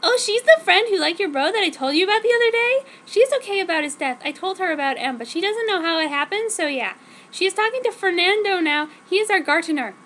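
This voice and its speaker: Woman doing a chirpy, high, ditzy teenage girl. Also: animated, fast talker, a bit bright, slight background noise. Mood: perky. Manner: breezy, exaggeratedly girlish.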